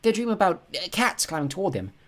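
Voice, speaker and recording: Guy doing a young girl voice, earnest, lively, kinda urgent. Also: fast talker. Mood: fearful. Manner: raised pitch, urgent and hushed. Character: earnest young girl, bird-loving, intense.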